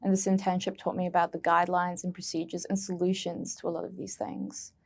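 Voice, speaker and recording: Woman, gravelly fry, earnest museum intern, prepared answers. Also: on the low side, level tone, a little fast. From Australia.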